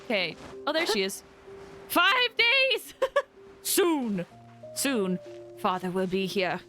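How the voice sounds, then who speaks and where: A woman giving chatty, expressive, casual commentary as she livestreams a video game. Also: mid-range voice, slow, soft background music. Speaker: game streamer.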